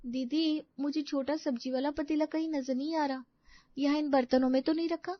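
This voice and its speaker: A woman's voice: high-pitched, steady tone, normal speed, no room sound, close to an everyday voice. A woman playing a young, exasperated daughter-in-law in a family story, whiny and emphatic, in a slightly dull recording.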